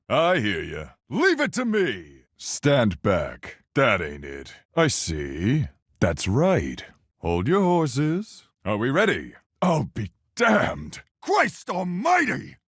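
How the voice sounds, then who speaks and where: An actor playing a gruff, level-headed sea captain with salty slang and a folksy, measured manner in a video game. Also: male voice, mid-range voice, huge pitch swings, no room sound, studio quality, full character voice. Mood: hearty, easygoing. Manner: salty seafaring slang, measured deductive delivery. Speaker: voice actor in a fan-made mystery game. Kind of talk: video game character voice lines.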